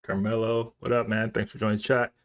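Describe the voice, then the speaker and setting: A man's voice: fairly low pitch, flat delivery, fairly quick. A man livestreaming in an informal, slangy way, thinking aloud as he chats with viewers. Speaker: live coding streamer. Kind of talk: casual livestream chat, reading and answering viewer comments.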